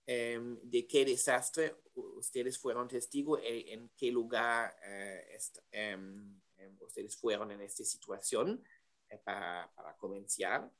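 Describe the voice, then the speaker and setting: A man interviewing a guest, asking formal questions in a hesitant, halting way full of fillers. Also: mid-range voice, a bit bright.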